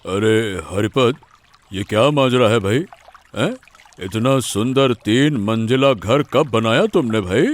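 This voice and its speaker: A man's voice: medium pitch, takes it slowly, lightly acted. A man voicing an amazed, chatty village neighbour in a cartoon story. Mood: amazed, admiring. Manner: exclaiming, folksy, questioning. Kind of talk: Hindi cartoon story voice acting.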